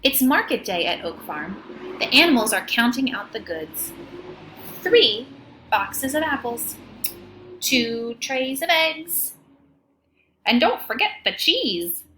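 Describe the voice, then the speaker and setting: A woman teacher reading a picture book aloud to children in an expressive way, pausing to ask them questions. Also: fairly high pitch, slight background noise, low-quality recording. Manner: expressive, child-directed, often asking questions.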